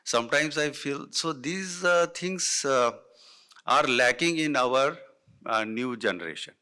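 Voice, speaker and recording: Middle-aged indian english guy, hindi his first language, slow halting panel talk, bright clear voice. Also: medium pitch, lively intonation. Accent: Indian English, Hindi-influenced. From north India. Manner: halting, many fillers and restarts. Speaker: conference panel speaker. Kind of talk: unscripted panel remarks.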